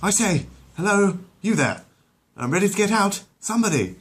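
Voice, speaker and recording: A haughty little cartoon baby acted out by a man, pitched well above the usual male register. He is pompous and theatrical, a tiny schemer who talks like a grand gentleman. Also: sing-song, normal speed, slight background noise. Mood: imperious.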